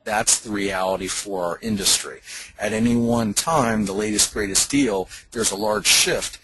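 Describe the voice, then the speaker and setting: A man's voice: on the low side, normal speed. A man speaking as a company founder on a conference call, explaining his business at length like a salesman. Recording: noisy conference call line with clicks.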